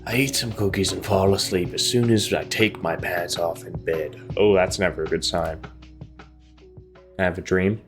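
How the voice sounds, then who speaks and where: A man's voice: low voice, fairly even intonation, moderate pace, music in the background. A man hosting a gaming video, reading visual novel narration aloud and adding casual commentary.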